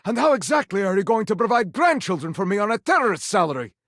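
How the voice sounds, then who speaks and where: Game role, played by a man: scolding mother, high-pitched and exasperated, swooping indignantly, dry studio sound. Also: very animated, fast, a bit bright, no room sound, heavily acted. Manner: shrill, exasperated. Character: indignant, scolding mother berating her son.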